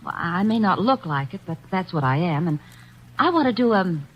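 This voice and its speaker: A breathy, teasing young writer. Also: female voice, on the low side, sing-song, normal speed. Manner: breezy, teasing, giggly. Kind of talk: 1940s radio acting. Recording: dull, lo-fi vintage radio recording.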